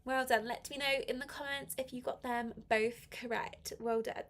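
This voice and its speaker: A woman teaching a live online English lesson with a slight head cold, reading exercises aloud and encouraging learners in a clear, step-by-step way. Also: fairly high pitch, fairly even intonation, moderate pace, fairly bright tone.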